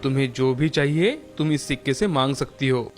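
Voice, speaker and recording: A man playing a tired, gentle old holy man who speaks in a fatherly way in an animated moral story. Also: medium pitch, normal speed, faint music behind, close to an everyday voice. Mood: kindly, grateful. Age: older.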